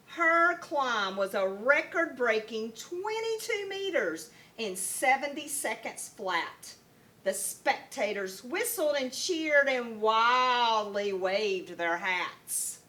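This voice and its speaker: A woman with a very bright, fairly high voice reads a children's story aloud. She takes her time and tells it expressively, like a first grade teacher. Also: lively intonation, slow. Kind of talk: children's picture book read-aloud.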